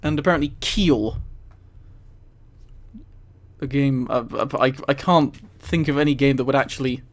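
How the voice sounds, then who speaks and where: A man's voice: medium pitch, moderate pace. A man casually reading aloud on a livestream and commenting, easily amused and giggly. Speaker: streamer.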